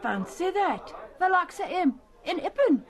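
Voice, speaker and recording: An actress playing a sceptical, nosy, colloquial village gossip in an audio drama. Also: female voice, very high voice, very animated, a little slow, exaggerated voice. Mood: gossipy.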